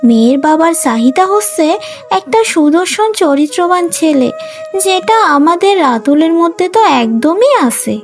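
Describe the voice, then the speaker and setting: Woman doing a snobby pushy young sister-in-law, cartoon, super high voice, faint music behind. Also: sing-song, moderate pace. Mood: coaxing, scheming. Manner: pushy, emphatic. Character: scheming, scornful young married daughter-in-law. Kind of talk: cartoon family drama dialogue.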